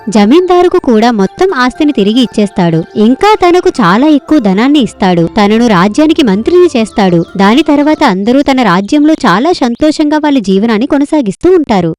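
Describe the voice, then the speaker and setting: A woman reading scripted narration for an animated moral story as its storyteller. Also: a little high, brisk, hardly any pauses, soft background music.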